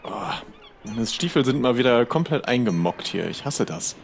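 A man's voice: mid-range voice, sing-song, normal speed, vocal fry, faint music behind. A man improvising casual pirate role-play dialogue as a player, chatty and somewhat hesitant.

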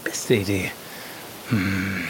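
A man's voice: sits low, level tone, extremely slow, a little hiss or hum, pitched below the performer's own voice. A man playing a cheeky, macho pirate with a terse manner in an improvised adventure audio play. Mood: gruff. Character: gruff, cheeky, manly pirate after hot chilis.

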